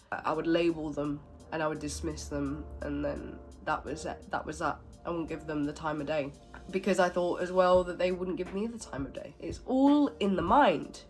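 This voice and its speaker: Low, nasal-sounding woman with a cold, candid and self-critical, soft music underneath. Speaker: vlogger. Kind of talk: reflective personal monologue.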